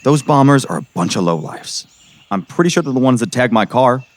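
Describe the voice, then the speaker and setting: A man acting a gruff, skeptical middle-aged sheriff in an audio drama, exasperated and pressing. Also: mid-range voice, expressive, a little fast, dry recording, close to an everyday voice. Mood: disbelieving, shaken. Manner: exasperated, sarcastic, pressing interrogator.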